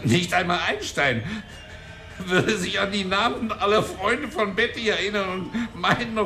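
A man's voice: high-pitched, measured pace, sharp tone, heavily acted. An actor playing a cantankerous, scrawny old man who talks boastfully and crudely in a radio play. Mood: mocking. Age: older. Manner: cranky, mocking, boastful.

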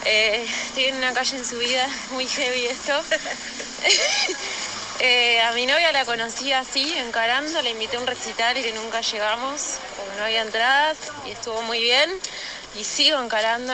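A woman's voice: on the high side, steady tone, fairly quick. An Argentine woman chatting casually in a phone voice message recorded outdoors on a street. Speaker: listener sending a voice message. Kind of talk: casual chat. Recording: voice note with clear street traffic noise.

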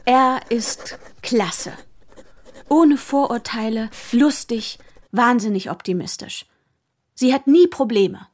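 An actress playing an earnest young woman abroad who reads out her letters with deliberate phrasing in a radio play. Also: a little high. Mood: bright, admiring.

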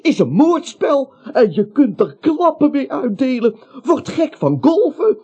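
Nervous high-pitched pleading victim, wildly swooping. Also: male voice, big pitch swings, a little slow, warm tone, full character voice. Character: anxious, polite little man pleading with his killer. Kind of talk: absurd comic radio play acting. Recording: dull lo-fi radio recording.

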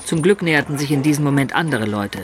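A man's voice: fairly high pitch, lively intonation, fast talker, a little hiss or hum, natural voice. An actor playing a traveller who narrates his own homecoming adventures in a radio play. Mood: vivid. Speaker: first-person narrator.

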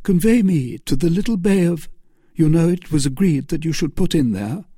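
A man's voice: a little high, animated, normal speed, mellow, slightly rough, dry recording, studio quality, pitched above the performer's own voice. A man voicing a grave, intense young officer, devoted and resolute, in a storybook reading. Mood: commanding. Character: earnest, gloomy young male fanatic officer, devoted and resolute.

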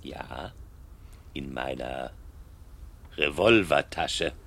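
An actor playing a cold, vengeful man with a gun in a radio play, menacing and deliberate. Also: extremely low pitch, vocal fry, exaggerated voice. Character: cold, vengeful armed blackmail victim. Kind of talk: 1970s German radio play acting. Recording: 1970s radio drama, clicks, background noise.

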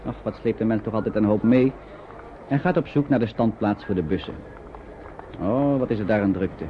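An actor as a chatty, sympathetic narrator telling a radio play story in colloquial Dutch style. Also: male voice, fairly low pitch, normal speed, natural voice. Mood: vivid, sympathetic. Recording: dull, noisy old radio recording.

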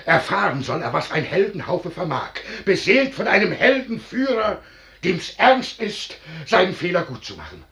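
Earnest old officer, widely swooping voice. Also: male voice, sits high, very animated, normal speed, gravelly, a bit bright, heavily acted. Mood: fervent. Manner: deferential, earnest, declamatory. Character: loyal, anxious aging male officer, humbly pleading. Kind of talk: classical German stage drama, radio play acting.

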